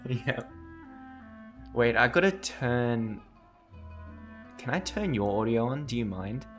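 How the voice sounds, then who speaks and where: A man's voice: mid-range voice, animated, slow pace, a bit bright, soft background music. A man live streaming, giving casual reaction commentary and talking to his chat.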